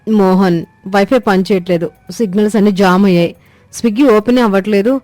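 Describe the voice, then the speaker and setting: A woman's voice: medium pitch, steady tone, fast, a little mellow, dry recording, faint music behind, close to an everyday voice. A woman voicing a polite, gadget-proud young city daughter-in-law in a cartoon story, mixing English words into her Telugu. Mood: worried.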